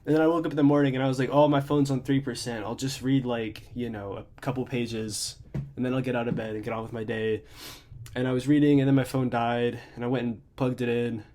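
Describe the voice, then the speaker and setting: A man's voice: medium pitch, fairly even intonation, brisk, mellow. Young guy kinda stuffy nose, chatty rambling book review, lots of likes and restarts. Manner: rambling, many fillers and restarts. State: congested, slightly stuffy. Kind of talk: casual off-the-cuff book review.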